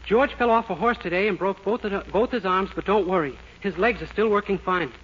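High-voiced naive young fellow. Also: male voice, a little fast, slightly rough. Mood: earnest, cheerful. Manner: earnest, innocent. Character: naive, cheerfully dim young telegraph clerk. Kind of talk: 1940s radio sitcom acting. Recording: dull old radio recording, loud hiss, clicks.

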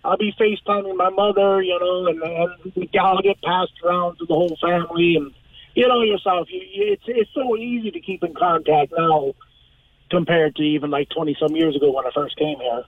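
High-voiced man on a tinny line. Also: fairly quick, no echo. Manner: relaxed, hesitant with fillers. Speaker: guest. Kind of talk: casual radio interview.

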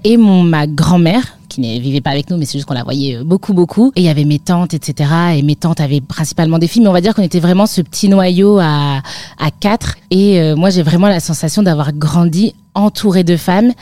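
Woman, 30s, from northern France, low voice, mellow tone, dry close sound, chatty with fillers. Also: dry recording. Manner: chatty, with frequent fillers and light laughs. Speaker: midwife and guest. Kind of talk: casual interview chat.

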